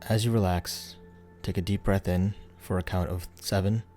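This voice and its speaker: Deep, mellow man guiding a meditation. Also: fairly even intonation. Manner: soothing, unhurried, instructional with pauses. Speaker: guided meditation leader. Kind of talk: guided meditation narration. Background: background music.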